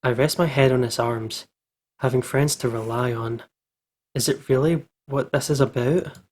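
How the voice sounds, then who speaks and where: A man's voice: mid-range voice, steady tone, moderate pace, darkish tone. A man hosting a playful let's play, chatting and reading visual novel text aloud.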